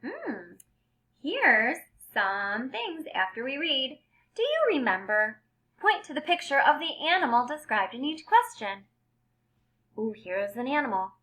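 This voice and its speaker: A woman with a fairly high voice takes her time reading aloud to kindergarteners. She speaks slowly and expressively, like a teacher giving a lesson, and puts things in simple, clear words for small children. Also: big pitch swings, a bit bright.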